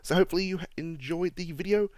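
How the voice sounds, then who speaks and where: A man with a slightly croaky voice, giving a lively play-by-play voice-over commentary on a video game as the channel's host. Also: high voice, quick pace, no room sound, studio quality.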